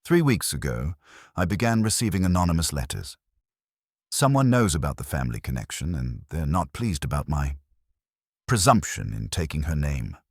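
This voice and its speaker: A man's voice: a bass voice, very animated, moderate pace, breathy edge, no echo, clean studio sound, exaggerated voice. A man voicing an anxious, candid young married woman confiding in a mystery story. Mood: troubled. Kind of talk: scripted mystery story dialogue.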